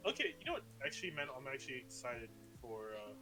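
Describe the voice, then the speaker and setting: A man's voice: medium pitch, very animated, quick pace, music in the background, rough recording. A man co-hosting a casual podcast, speaking hesitantly with many fillers. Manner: hesitant, lots of fillers and likes. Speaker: podcast co-host. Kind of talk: casual chat.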